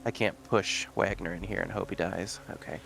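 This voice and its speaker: A man's voice: deep voice, fairly even intonation, moderate pace, creaky, a bit bright, soft background music. A man chatting casually as a player in a tabletop game, wry and hesitant as he thinks aloud.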